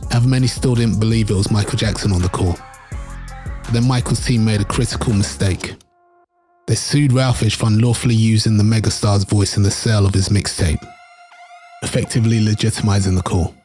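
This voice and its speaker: A man reading scripted documentary narration in a measured, dramatic storytelling manner. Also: fairly low pitch, a little mellow.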